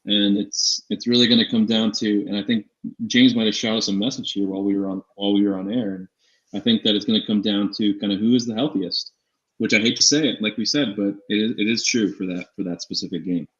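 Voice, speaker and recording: A man's voice: fairly low pitch, steady tone, fast talker, fairly bright tone. A man co-hosting a fantasy sports show in casual, informal chat, often repeating phrases for emphasis. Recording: slightly dull, echoey room sound.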